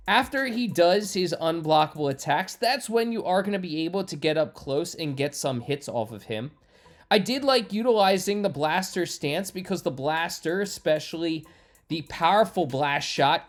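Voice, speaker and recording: A male game commentator delivers a prepared walkthrough. His phrasing is instructional and orderly, explaining tactics point by point. Faint game music runs underneath. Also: a little high. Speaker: gaming YouTuber. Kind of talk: scripted walkthrough commentary with tips.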